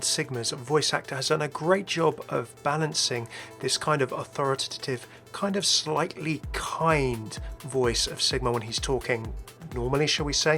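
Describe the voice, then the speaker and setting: Guy doing game commentary voiceover, medium pitch, host explaining stuff, bit of music behind. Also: sharp tone. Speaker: video host.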